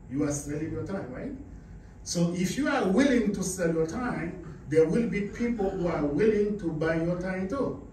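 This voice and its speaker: A man's voice: high-pitched, a little mellow. Haitian-born male seminar speaker, emphatic, quizzes the crowd; faint room hum behind. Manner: emphatic, asks the audience questions. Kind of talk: motivational business presentation. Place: carpeted indoor meeting room. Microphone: far camera, no visible mic. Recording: echoey room, camera far off.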